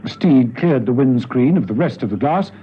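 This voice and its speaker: A man's voice: mid-range voice, lively intonation, moderate pace, very noisy. A man narrating a spy radio serial in a dramatic, scripted storytelling style. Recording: muffled vintage radio recording, music behind. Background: music.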